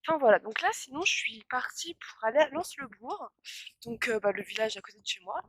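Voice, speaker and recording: A woman's voice: fairly high pitch, expressive, brisk, fairly bright tone, husky, no echo. A young woman vlogging casually to her phone on a windy outdoor walk, tired and stuffy from pollen allergies, rambling with many fillers and restarts. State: congested from pollen allergy, coughing. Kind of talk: casual vlog chat to camera. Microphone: phone held at arm's length.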